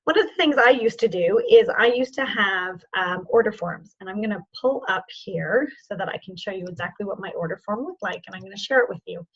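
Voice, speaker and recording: A woman training sales representatives in an online session, informal and encouraging, with a voice that is going hoarse. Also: medium pitch, animated, fairly quick, hardly any pauses, muffled recording. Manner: informal and encouraging, checks in with "okay?". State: losing her voice, hoarse.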